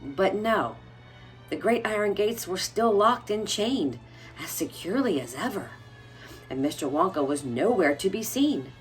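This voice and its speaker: A woman reading a children's storybook aloud expressively, like a teacher, with soft music behind her. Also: mid-range voice, expressive, unhurried, poor audio.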